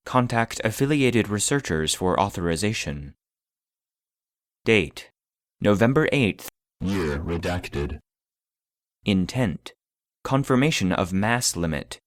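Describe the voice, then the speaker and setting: Man acting as male database narrator, impassive, formal, clinical, lowish pitch, dry close sound, audio drama. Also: no room sound. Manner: formal, measured, clinical delivery. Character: impassive male database voice reading classified containment files. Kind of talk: scripted narration of clinical reports.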